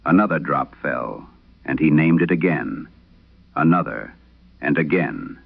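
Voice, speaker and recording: Deep-voiced old priest played by an actor, grave and haunted. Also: male voice, creaky, husky, narrow, tinny audio, exaggerated voice. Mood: haunted, solemn. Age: older. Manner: solemn, measured storytelling. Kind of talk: dramatic scripted horror radio monologue.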